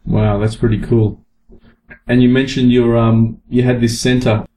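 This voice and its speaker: A man's voice: mid-range voice, level tone, a little slow, warm tone, poor audio. A man hosting a casual interview podcast, friendly and loose, with fillers like "um" and "mate". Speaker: podcast host.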